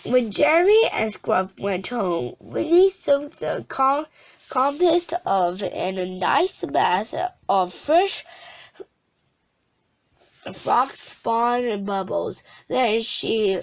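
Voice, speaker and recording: A woman reading a children's storybook aloud, haltingly, often stumbling and restarting words. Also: fairly high pitch, sing-song, creaky, slight background noise, low-quality recording.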